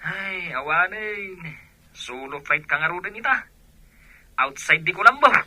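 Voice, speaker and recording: A man playing an excitable, exasperated male buddy in an Ilocano radio drama. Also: high-pitched, unhurried, no echo, full character voice.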